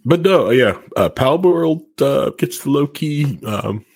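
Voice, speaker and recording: A man's voice: medium pitch, animated, takes it slowly, creaky, slightly rough, no echo. A man hosting a podcast, chatting casually about video games. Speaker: podcast host.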